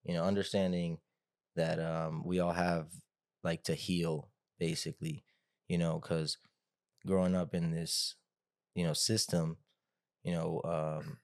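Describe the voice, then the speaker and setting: A man's voice: low-pitched, level tone, a little slow, long pauses, darkish tone, no echo, clean studio sound. A man hosting a podcast in a casual, rambling way, padding his talk with fillers. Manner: rambling, hedging with frequent "like" and "you know". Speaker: podcast host, interviewer. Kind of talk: casual chat.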